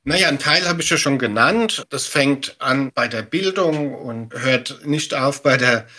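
A middle-aged man giving measured, practical explanations as an expert guest in an interview. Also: mid-range voice, animated, bright, forceful tone. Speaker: media education expert, podcast guest.

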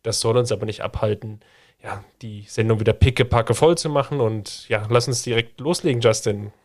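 A man hosting a football podcast in casual chat, his voice stuffy and congested from a sinus infection, relaxed and a bit jokey. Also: on the low side, fairly quick. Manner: relaxed, jokey, leads the conversation. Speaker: podcast host.

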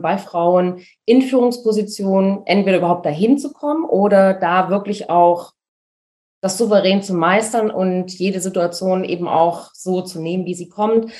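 A woman's voice: lowish voice, darkish tone. A woman who is a business coach answering podcast interview questions in a casual, enthusiastic way, with slangy words and frequent laughter.

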